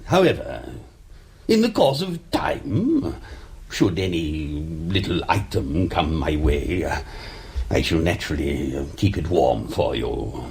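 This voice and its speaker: A man acting in a radio drama as an urbane, avuncular gentleman, pompous and formal, with deliberate, orotund phrasing. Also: extremely low pitch, sing-song, vocal fry, exaggerated voice. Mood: discreet, indulgent. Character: urbane, pompous, avuncular male mentor with shady dealings.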